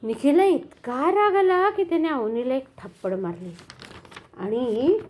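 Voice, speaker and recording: An older woman narrating a children's bedtime story in an expressive, animated way. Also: high-pitched, measured pace, slight background noise.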